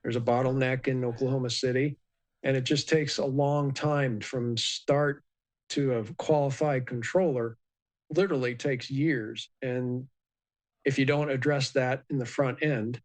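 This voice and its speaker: A man giving a measured, explanatory expert commentary in a live news interview, with many ums and uhs. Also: medium pitch, steady tone. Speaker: aviation expert guest.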